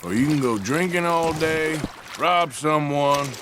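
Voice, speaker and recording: Guy playing gruff american outlaw in a game, thirties, dry and sarcastic, takes his time, water noise behind. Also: fairly high pitch, measured pace, lightly acted. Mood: exasperated. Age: 30s. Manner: dry, sardonic, laconic. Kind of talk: video game cutscene acting. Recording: outdoor water and boat noise. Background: water and rowboat sounds.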